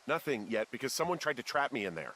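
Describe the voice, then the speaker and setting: An actor playing a wry, easygoing fire lookout in a video game, talking in a dry, self-deprecating, casual way. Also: male voice, mid-range voice, very animated, fast, pushed and bright, slight background noise, rough recording. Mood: agitated. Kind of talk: naturalistic video game dialogue.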